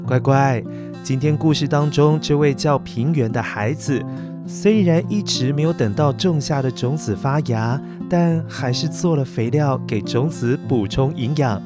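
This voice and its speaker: A man's voice: mid-range voice, measured pace, music in the background. A man hosting and narrating a children's story, addressing young listeners in a coaxing, explanatory way. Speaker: children's story podcast host.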